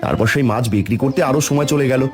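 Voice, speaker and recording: A man voicing a fast-talking honest fisherman. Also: mid-range voice, faint music behind, natural voice. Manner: warm, worried. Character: honest hardworking poor fisherman. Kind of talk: cartoon voice acting.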